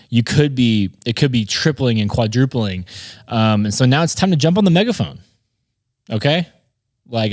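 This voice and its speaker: A man's voice: medium pitch, dry recording, studio quality. A man hosting a podcast, giving an informal, conversational motivational talk on business and addressing listeners directly. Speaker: podcast host and marketer.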